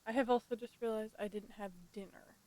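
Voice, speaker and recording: A woman's voice: on the high side, steady tone, fairly quick, darkish tone, rough recording. A tired woman chatting casually as she live-streams a game on a headset mic.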